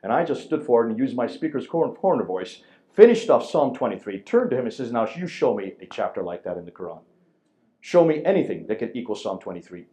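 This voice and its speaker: A man giving an emphatic lecture to a church audience, with a very loud voice, firing rhetorical questions at his listeners. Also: mid-range voice, big pitch swings, fairly quick.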